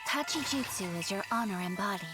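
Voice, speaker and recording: A woman's voice: medium pitch, expressive, a little fast, lightly acted. An actress playing a poised, courteous young noble lady sword fighter in a formal, composed anime game style. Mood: solemn, composed. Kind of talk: anime game trailer voice acting. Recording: game trailer under loud music.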